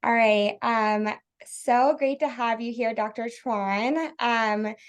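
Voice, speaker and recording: A woman's voice: a little high, slow pace, clear, resonant tone. A woman hosting an interview in a conversational style, representing a company.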